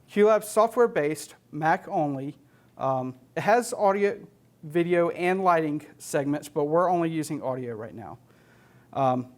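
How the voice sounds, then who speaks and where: A man in his thirties with an American accent and a slightly high voice gives an instructional presentation. He is plain-spoken and matter-of-fact, explaining things step by step as a technician. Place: indoor studio stage with LED wall.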